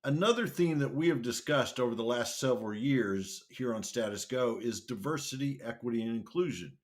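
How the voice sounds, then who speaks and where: A man's voice: mid-range voice, fairly quick. A man hosting an interview podcast, introducing his guests and asking questions with upbeat enthusiasm. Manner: upbeat, enthusiastic, lively delivery. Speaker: podcast host. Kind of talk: hosted interview.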